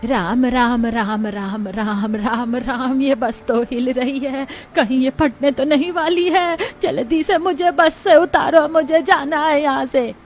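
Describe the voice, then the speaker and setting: A woman's voice: high voice, slow, few pauses, faint music behind. A woman playing a traditional, easily flustered older village mother-in-law in a cartoon, homely and exclaiming. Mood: panicked.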